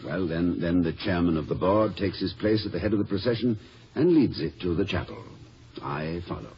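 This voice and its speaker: Actor as fussy, hesitant older college president, muffled. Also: male voice, low-pitched, normal speed, close to an everyday voice. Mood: earnest explaining. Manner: hesitant, bumbling, rambling, formal diction. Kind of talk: 1950s radio comedy acting. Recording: muffled, hissy old radio recording.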